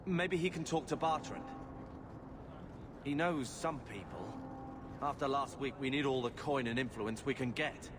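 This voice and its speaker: A man's voice: mid-range voice, unhurried, pauses often, noisy background, natural voice. A man voicing a blunt, sardonic young human warrior in a video game. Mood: sullen. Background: background music.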